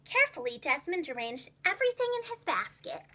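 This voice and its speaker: A woman telling a children's story aloud as a preschool teacher, with expressive, carefully enunciated delivery. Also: very high-pitched, fairly quick, a little hiss or hum.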